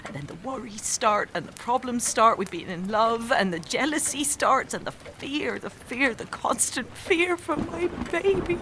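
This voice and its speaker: Fragile young actress, very high voice, slow halting delivery, fervent and erratic, audio drama, noisy background. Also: female voice, lively intonation. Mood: anguished. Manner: halting, repetitive, self-correcting, intense. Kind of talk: audio drama monologue acting.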